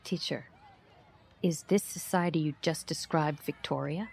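A woman playing an inquisitive investigative reporter, recounting her case as measured scripted audio drama narration. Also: low-pitched, steady tone, gravelly, a little mellow, husky, heavily acted. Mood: questioning. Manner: measured, storytelling.

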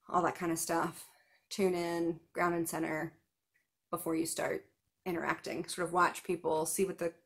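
A woman in her thirties giving numbered tips in a casual chat to camera, seated on a couch at home, with small laughs and asides. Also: lowish voice. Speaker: vlogger giving festival tips.

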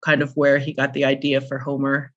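A woman's voice: a bass voice, monotone, fairly quick, muffled recording. A middle-aged or older woman speaking as an interview guest, reminiscing in an anecdotal, conversational way. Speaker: interview guest, author and publisher.

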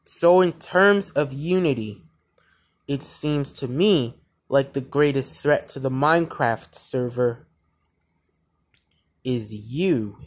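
A man voicing a stern, accusing Minecraft warrior leader in a slow, grave, deliberate manner for an amateur animation fandub. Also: mid-range voice, close to an everyday voice. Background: music.

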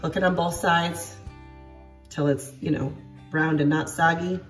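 Woman, 30s, congested, casual cooking chatter, lots of fillers, lowish voice. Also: fairly even intonation, unhurried, recorded from a distance, faint music behind. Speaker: home cooking vlogger. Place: home kitchen and outdoor patio.

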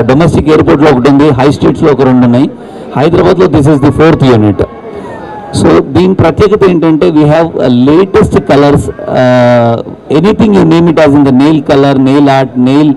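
A man in his forties with an Indian accent, giving an informal, halting promotional speech at a launch. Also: on the high side, dark tone, low-quality recording. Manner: halting, switches languages mid-sentence. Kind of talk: informal promotional speech mixing English and Telugu. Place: open atrium of a shopping mall, on stage. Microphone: handheld microphone.

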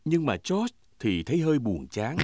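A man's voice: medium pitch, big pitch swings, normal speed, heavy background noise, lightly acted. A man acting as the storyteller of a preschool cartoon, reading scripted narration expressively. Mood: storytelling. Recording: music behind the voice.

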